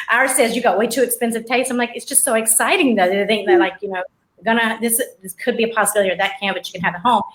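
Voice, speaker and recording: A woman's voice: mid-range voice, fairly bright tone, rough recording. A woman hosting a casual live show, chatty and rambling, often laughing as she talks. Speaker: host.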